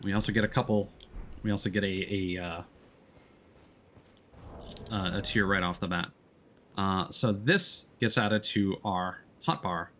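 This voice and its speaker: Low-voiced man streaming a video game. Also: fairly bright tone, slight background noise. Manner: halting, explanatory. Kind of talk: casual let's-play stream commentary. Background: background music.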